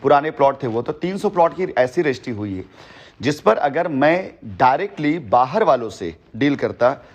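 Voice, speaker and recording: A man's voice: mid-range voice, very animated, normal speed. A middle-aged man heading a company, talking assertively and boastfully on a phone call, repeating his points. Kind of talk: heated phone conversation.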